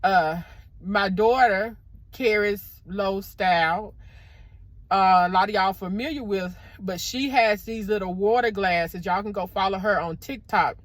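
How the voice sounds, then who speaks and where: Middle-aged woman, motivational vlogger, loud deep voice, dry close lapel sound. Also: unhurried, no room sound. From American South. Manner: warm preacherly encouragement, addresses viewers as y'all. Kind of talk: casual motivational talk to camera. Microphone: clip-on microphone.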